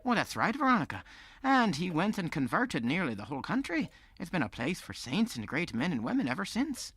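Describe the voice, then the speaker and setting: A man playing a kindly old Irish storyteller, folksy and gentle, telling tales in an audio drama. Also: on the high side, huge pitch swings, brisk, slightly rough, dry recording, full character voice. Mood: kindly, playful.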